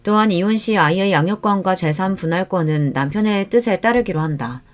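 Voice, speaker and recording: A woman's voice: mid-range voice, lively intonation, fairly quick. A woman reading a scripted first-person story in the style of a radio story show. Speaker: story narrator.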